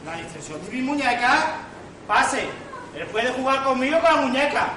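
A man's voice: very high-pitched, big pitch swings, normal speed, sharp tone, heavily acted. A man in an amateur stage farce playing an indignant, blustering father with a declamatory delivery, recorded in an echoey hall. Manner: declamatory, theatrical. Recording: echoey hall from far off, noisy audience.

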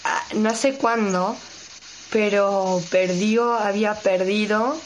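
A woman's voice: mid-range voice, fairly even intonation, slow, slight background noise, low-quality recording. A young woman co-hosting a radio show, chatting casually in a slangy, rambling, jokey way. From Argentina.

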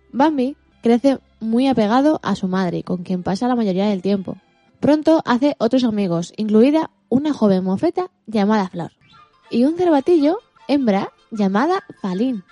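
A woman's voice: a little high, warm tone, dry recording. A woman reading a scripted story summary as a narrator.

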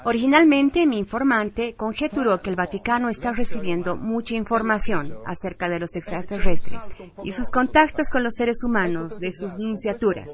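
A woman's voice: on the high side, moderate pace. A woman presenting radio news, reading scripted headlines and reports. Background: music.

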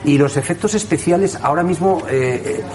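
A man's voice: a little high, normal speed. A man with an accent from Spain, chatting casually as a radio interviewer. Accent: Spanish, from Spain.

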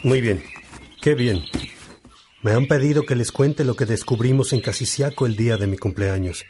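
A man's voice: mid-range voice, background noise, close to an everyday voice. Fatherly priest and teacher played by a man. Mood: fatherly, content. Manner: fatherly, unhurried, addressing followers affectionately. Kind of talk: radio drama acting. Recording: birdsong ambience behind the voice.

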